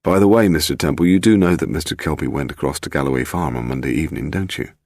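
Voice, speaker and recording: A man's voice: rumbling low, quick pace, vocal fry, a little mellow, dry recording, studio quality, heavily acted. A man voicing a grim, terse English chauffeur-handyman who speaks reluctantly and with deference. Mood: curt, pointed.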